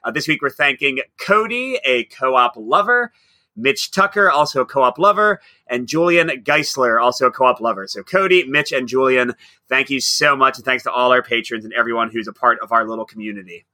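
A man's voice: medium pitch, animated, normal speed, sharp tone, dry recording. A man co-hosting a casual podcast, upbeat and teasing, laughing often. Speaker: podcast co-host.

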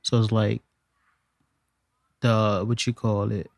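A man's voice: on the low side, level tone, takes it slowly, gravelly, dry recording. A man on a podcast talking casually and thoughtfully as a guest. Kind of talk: casual chat.

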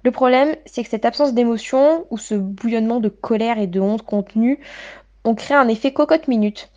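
A woman's voice: on the high side, fairly quick, darkish tone, lo-fi sound. A woman in her late twenties giving articulate, literary, self-deprecating personal testimony as a guest in a radio interview.

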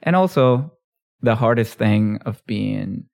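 A man who is a poet, talking about his writing in a relaxed interview, thoughtful and halting. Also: on the low side, gravelly. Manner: thoughtful, halting, restarts phrases. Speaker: poet and author guest.

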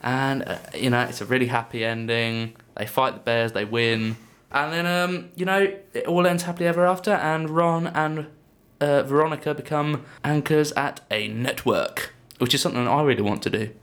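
A young man with a croaky voice, a guest on a casual film podcast, retelling plots in a rambling, hesitant way. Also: medium pitch, steady tone, moderate pace, few pauses, fairly bright tone. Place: small studio.

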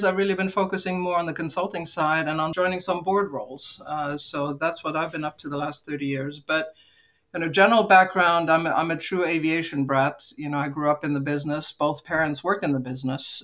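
A woman's voice: deep voice, fairly even intonation, brisk, a bit bright. A woman in her fifties from Luxembourg, chatting upbeat and at length as a podcast guest about her career. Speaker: podcast guest, aviation executive. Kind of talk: casual interview conversation.

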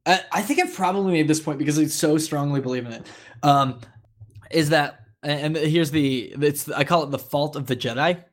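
A man's voice: on the high side, normal speed. A man co-hosting a podcast in casual discussion, talking in an emphatic, informal way with easy swearing. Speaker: podcast co-host.

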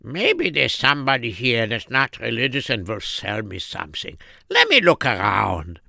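A man plays a fussy old father in a told story, full of sighs and small exclamations, his voice bright and lifting up and down. The delivery is slow and a bit gravelly. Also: mid-range voice, huge pitch swings, dry recording, studio quality, heavily acted. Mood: dismayed. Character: aging father, fussy and worried.

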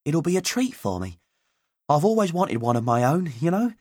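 Guy doing a doting motherly auntie for an audiobook, voice lifted high, homely and affectionate. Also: very animated, normal speed, a little mellow, dry recording, studio quality. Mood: fond, eager. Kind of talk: audiobook character voice.

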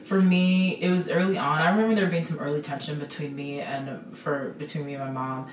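A woman with a low voice and a Midwestern American accent gives casual, personal answers as a podcast guest. The room echoes around her, and she sits some way from the microphone. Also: steady tone, brisk, faint music behind. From Minnesota, USA. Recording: roomy echo, distant from the mic.

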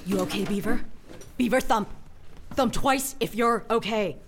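An actor playing a brisk female casting director in a comedic audio drama. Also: on the high side, background noise. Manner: brisk, businesslike.

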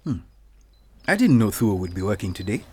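A man's voice: lowish voice, very animated, moderate pace, husky. An actor with a Kenyan accent playing a hearty man in an audio drama. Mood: curt. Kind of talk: acted audio fiction.

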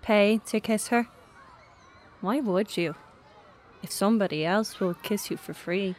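A woman's voice: medium pitch, measured pace, darkish tone, natural voice. A woman playing a young schoolboy in an audio drama, sullen and evasive, with terse, matter-of-fact answers. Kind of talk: naturalistic radio drama acting.

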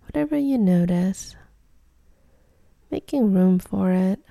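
Slow, very dark-voiced woman guiding meditation. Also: medium pitch, expressive, creaky, dry recording, clean studio sound. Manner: soft, soothing, unhurried delivery with short phrases. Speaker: meditation guide. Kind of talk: guided meditation.

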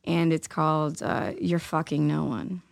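A woman musician with a raspy voice, chatting loosely as a guest with many fillers. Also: deep voice, level tone, measured pace, no echo, clean studio sound. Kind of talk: casual chat.

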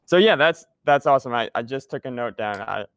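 A young man hosts a casual podcast, agreeing readily and scattering "like" and "man" through his sentences. His voice is smooth and resonant and sounds close and dry, with no room around it. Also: mid-range voice, lively intonation, a little fast, a bit bright, dry recording. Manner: agreeable, uses lots of fillers like "like" and "man". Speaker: podcast host, music teacher.

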